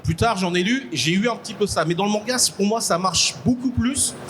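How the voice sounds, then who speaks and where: A man from Congo, a guest on a live podcast panel, explaining his ideas in a halting way and repeating words as he thinks. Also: sits high. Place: convention stage before a live audience. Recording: noisy live event ambience.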